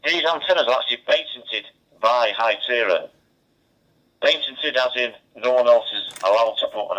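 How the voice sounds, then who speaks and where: A man's voice: fairly low pitch, fairly bright tone. A man chatting casually as an amateur radio operator, heard over a two-way digital radio. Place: mobile radio station. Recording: dull, band-limited two-way radio transmission.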